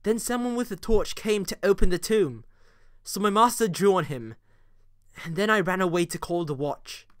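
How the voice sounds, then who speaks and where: A man's voice: sits high, moderate pace, no echo, studio quality, close to an everyday voice. A man playing a frightened young page boy giving his report in a modernized Shakespeare audio drama. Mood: anxious.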